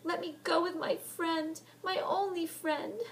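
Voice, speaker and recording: Woman doing a frantic, high young girl. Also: expressive, measured pace, a bit bright, slight background noise, heavily acted. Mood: sobbing. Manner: pleading, sobbing and shrieking.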